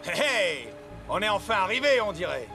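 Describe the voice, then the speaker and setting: A man's voice: sits high, big pitch swings, moderate pace. A man playing a vain, boastful young footballer in a children's cartoon, cocky and showy. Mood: surprised, curious. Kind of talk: dubbed children's cartoon acting. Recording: music behind the voice.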